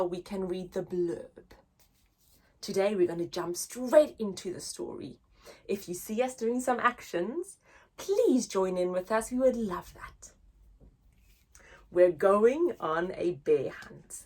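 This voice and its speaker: A woman reading a picture book aloud to young children in an expressive, sing-song chanting way, drawing out words for drama. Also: mid-range voice, slow pace.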